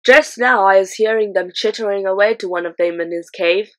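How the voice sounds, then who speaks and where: A woman voicing a nasty male giant who gloats loudly. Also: medium pitch, brisk, natural voice. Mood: gloating. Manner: gloating, loud.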